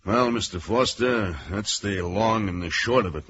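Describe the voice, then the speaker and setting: Man playing deep-voiced, dry detective. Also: normal speed, fairly bright tone, soft background music, natural voice. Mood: dry, firm. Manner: dry, matter-of-fact. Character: unhurried, unbending New York male police detective. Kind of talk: old radio thriller acting. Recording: dull, faintly noisy radio recording.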